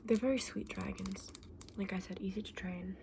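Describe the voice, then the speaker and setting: A woman playing a friendly, knowledgeable fantasy egg saleswoman in an ASMR roleplay, speaking softly and often in a breathy whisper, gently explaining things to a customer. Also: mid-range voice, normal speed, background noise, natural voice. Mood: helpful, soft.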